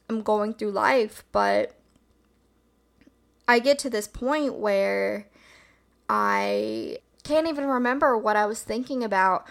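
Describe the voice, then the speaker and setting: A woman hosting a solo podcast in a casual, reflective, rambling way, with a slightly hoarse just-woke-up voice. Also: medium pitch. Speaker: podcast host.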